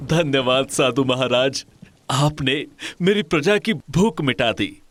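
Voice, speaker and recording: Guy doing a noble king in a kids cartoon story, earnest n formal, slow delivery. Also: medium pitch, a bit bright. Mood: grateful. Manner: formal, measured, respectful. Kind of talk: Hindi cartoon moral story acting.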